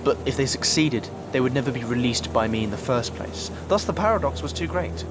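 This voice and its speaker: A man's voice: mid-range voice, expressive, a little fast, noisy background, close to an everyday voice. A man playing a brooding, analytical time-travelling alien in an audio drama, explaining things in a formal, commanding way. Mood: explanatory.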